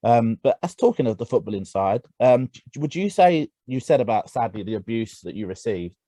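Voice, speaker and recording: A man co-hosting a podcast in casual conversation, speaking haltingly with many restarts. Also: medium pitch, sing-song, dark tone, no room sound. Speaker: podcast co-host.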